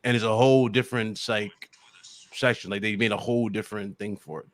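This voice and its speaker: A man's voice: on the low side, steady tone, fairly quick, no room sound. A man co-hosting a casual gaming podcast, talking in a slangy, teasing way. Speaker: podcast co-host.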